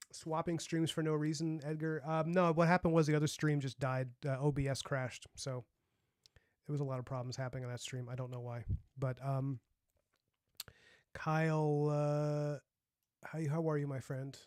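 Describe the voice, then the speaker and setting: A man's voice: a little high, fairly even intonation, moderate pace, pauses often, darkish tone, clear, resonant tone, dry recording, clean studio sound. A young man with a light, youthful voice, chatting casually on a livestream and rambling with lots of fillers. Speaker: streamer and comic artist.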